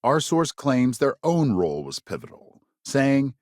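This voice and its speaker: An actor playing a polished, dramatic male news anchor in a sci-fi game, with crisp, measured, emphatic broadcast phrasing. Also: medium pitch, sing-song, dry recording, clean studio sound. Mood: authoritative, serious. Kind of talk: scripted broadcast news delivery.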